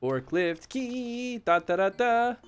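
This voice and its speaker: A man streaming a horror game live, chatting casually and yelling excitedly at the action. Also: extremely high pitch, slow, soft background music. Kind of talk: live gameplay commentary.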